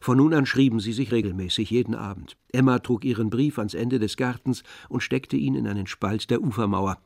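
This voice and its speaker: A man playing the composed, observant narrator of a literary radio drama, with measured delivery. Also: mid-range voice, vocal fry, a little mellow, dry recording, clean studio sound.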